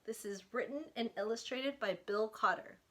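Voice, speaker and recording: A woman's voice: on the high side, normal speed. A woman librarian reading a picture book aloud to young children, speaking to them directly with questions and invitations to join in.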